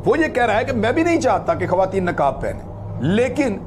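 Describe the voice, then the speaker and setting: A man's voice: high-pitched, sing-song, normal speed. A man hosts a news commentary. He talks to viewers conversationally, hammering points home through repetition and rhetorical questions. There is noticeable noise behind his voice. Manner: emphatic, repeats phrases and poses rhetorical questions.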